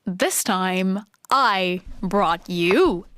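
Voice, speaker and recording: A woman's voice: medium pitch, expressive, very slow, a bit bright, clear, resonant tone. A woman playing a modern, breezy podcast host, explaining things in a casual, wry, emphatic way in a scripted conversational show. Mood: assured, breezy. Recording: light music bed behind voice.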